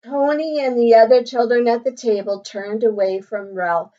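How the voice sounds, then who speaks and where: A woman's voice: on the high side, fairly even intonation, moderate pace, dark tone. A woman teacher reading a picture book aloud to children, explaining simply and checking in with questions, on slightly dull audio.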